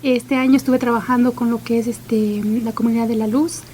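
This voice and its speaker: A woman whose first language is Chinantec, speaking as a guest in a radio conversation about language work, steady with frequent fillers. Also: a little high, fairly quick, slight background noise.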